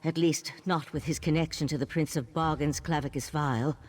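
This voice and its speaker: An actress playing an earnest, composed mage in a video game, explaining things in a measured way. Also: female voice, deep voice, steady tone, a little mellow. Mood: composed, thoughtful. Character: earnest, composed female mage of a scholarly order. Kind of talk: video game character dialogue.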